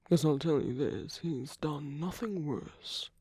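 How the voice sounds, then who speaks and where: Man playing a slow, breathy, whispering villain. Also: fairly high pitch, dry recording, studio quality. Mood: scornful, persuasive. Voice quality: soft, breathy. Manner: slow, teasing, murmuring, often dropping into whispers. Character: suave, teasing male villain at a royal masquerade. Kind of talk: intimate ASMR roleplay acting.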